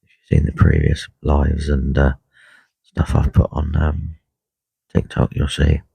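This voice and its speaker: A man with a croaky voice talking quietly and unhurriedly on a live stream from a lake bank, full of ums and uhs. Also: extremely low pitch, completely flat delivery, unhurried, no echo. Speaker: carp angler livestreaming. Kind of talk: casual live stream chat. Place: lakeside fishing swim outdoors. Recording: dull lo-fi live stream audio.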